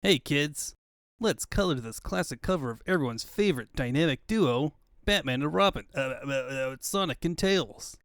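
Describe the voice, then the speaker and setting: A man's voice: fairly high pitch, lively intonation, normal speed, fairly bright tone, no echo, clean studio sound. A man reading scripted narration for a comic book fandub.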